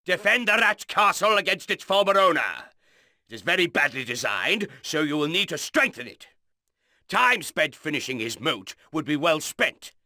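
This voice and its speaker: An actor playing an earnest noble commander giving a scripted mission briefing in a video game, stately and urgent. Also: male voice, fairly high pitch, big pitch swings, moderate pace, bright, forceful tone, no echo, studio quality, exaggerated voice. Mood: resolute. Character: earnest noble male commander briefing his young lord.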